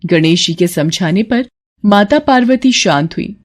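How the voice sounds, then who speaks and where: A woman's voice: mid-range voice, animated, measured pace. A woman narrating a scripted devotional story as a storyteller.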